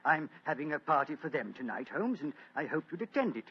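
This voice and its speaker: An actor voicing a wealthy, fretful lord in a 1940s radio play, earnest and hesitant. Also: male voice, on the high side, very animated, a little fast, slightly rough, heavily acted. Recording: dull lo-fi old radio recording.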